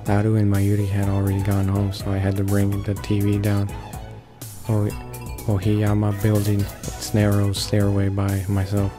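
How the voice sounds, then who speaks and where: A man reading a visual novel aloud as a let's play narrator, haltingly, stumbling and repeating words. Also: lowish voice, monotone. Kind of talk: read-along of a visual novel. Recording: voice-over with game music behind.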